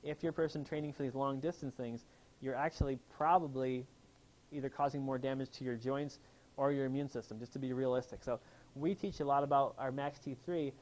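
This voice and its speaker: A man's voice: mid-range voice, fairly even intonation, brisk, a little mellow, poor audio. A chiropractor giving an enthusiastic health seminar, asking his audience questions as he talks. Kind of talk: live seminar talk to an audience. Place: room with a live audience.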